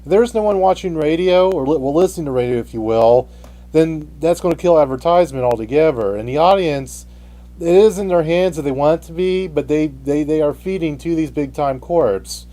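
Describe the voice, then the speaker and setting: Higher-voiced man, halting radio host. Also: dark tone. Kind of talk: unscripted radio debate.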